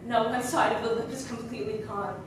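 A woman on stage playing a traumatized, grieving Bosnian refugee in a dramatic monologue, speaking in halting phrases with deliberate pauses. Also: fairly high pitch, steady tone, recorded from a distance, natural voice. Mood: anguished. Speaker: stage performer. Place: indoor theater stage. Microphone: distant camera microphone. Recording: echoey stage recording from afar, noisy.

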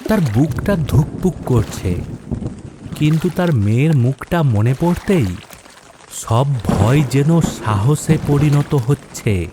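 A man's voice: fairly high pitch, animated, slow pace, noisy background. A man narrating a scripted horror story in a dramatic storytelling style. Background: background music.

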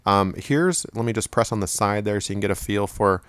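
A man giving a relaxed, rambling product review at home, with plenty of fillers and restarts. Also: lowish voice, brisk, clean tone, no echo.

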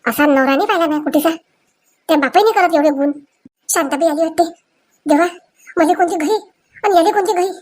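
A woman voicing excitable, quarrelsome village women in a cartoon comedy, with a rural Vidarbha Marathi accent and a scolding, exclamatory manner. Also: very high voice, brisk, long pauses, a little hiss or hum. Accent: Marathi, Vidarbha dialect.

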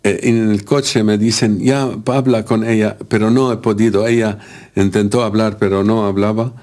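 A man from Lebanon, not a native speaker, haltingly searching for words as he recalls events in an interview. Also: on the low side, fairly even intonation, a little mellow. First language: not Spanish. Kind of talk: spoken interview recollection.

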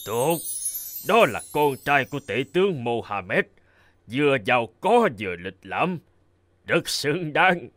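Stately, animated cartoon king. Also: medium pitch, slow pace, no echo, soft background music. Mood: pleased, approving. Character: aging bearded king, proud, fatherly, stately ruler. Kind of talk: cartoon fairy tale dubbing.

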